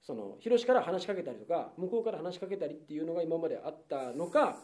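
A middle-aged man, hosting a casual comedic chat and probing his partners with quick reactions and questions. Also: fairly high pitch, lively intonation, warm tone. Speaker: radio show host leading the chat.

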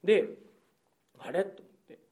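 A man co-hosting a radio show, telling anecdotes and bantering in a casual, comic chat style. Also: a little slow. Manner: animated, bantering storyteller.

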